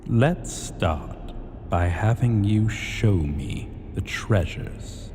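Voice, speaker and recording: A man playing an imperious, possessive dragon king in a fantasy ASMR roleplay, speaking in a commanding, deliberate and haughty manner. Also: on the low side, expressive. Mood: possessive, pleased. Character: imperious male dragon king, menacing, possessive, slyly amused. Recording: long hall reverb, faint background noise.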